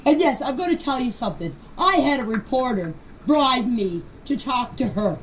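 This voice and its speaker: A woman's voice: high-pitched, animated, normal speed. An older woman giving an unscripted personal account in voice messages, speaking emphatically and defensively and repeating phrases to press her point. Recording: noisy amateur voice-message clips.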